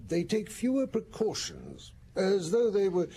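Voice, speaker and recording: An actor playing a thoughtful male psychiatrist professor in a radio drama, measured and reflective. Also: high voice, wildly swooping pitch, dark tone, a little hiss or hum, heavily acted. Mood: analytical.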